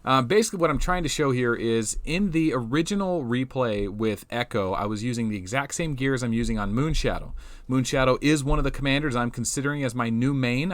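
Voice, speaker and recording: Slightly hoarse man rambling over gameplay. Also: mid-range voice, dry recording. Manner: rambling, restarts often, thinks aloud. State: slightly hoarse, losing his voice. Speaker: game channel host. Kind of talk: casual gameplay commentary.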